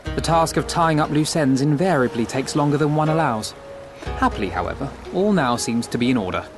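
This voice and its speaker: A man's voice: a little high, expressive, brisk, music in the background, natural voice. A man playing a courteous, earnest young scholar in a video game, with wordy, formal, archaic phrasing. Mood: polite, satisfied.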